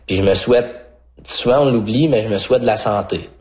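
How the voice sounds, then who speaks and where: A man's voice: fairly low pitch, a little fast, a little mellow. A man with a Québécois French accent chatting informally in an interview, peppering his talk with filler phrases. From Mascouche, Quebec, Canada. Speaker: rodeo bull rider being interviewed. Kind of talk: casual interview chat.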